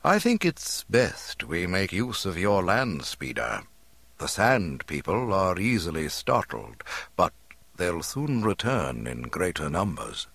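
Man voicing a gentle old hermit, husky and low. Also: huge pitch swings, no room sound, full character voice. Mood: knowing, calm. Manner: gentle, slow, deliberate. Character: kindly, calm old hermit man, cryptic and wise.

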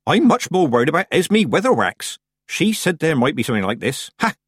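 A man voicing a jolly, earthy old village witch woman with a folksy, brisk manner in an audiobook. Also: fairly high pitch, very animated, slightly rough, no echo, clean studio sound, voice pushed up. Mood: brisk, amused.

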